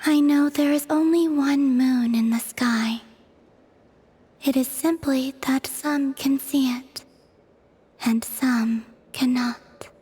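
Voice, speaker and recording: A woman voicing a solemn young moon goddess in a video game, soft and breathy, with a poetic, detached delivery. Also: high voice, steady tone, heavily acted. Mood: enigmatic, calm. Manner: slow, poetic, measured. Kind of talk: English video game voice acting. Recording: game music behind the voice. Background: background music.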